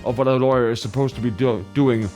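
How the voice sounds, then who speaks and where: Elderly game judge, low-pitched, ponderous and halting, acted out by a man. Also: fairly even intonation, darkish tone, faint music behind, close to an everyday voice. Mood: grudging. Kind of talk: comic amateur video game dramatic reading.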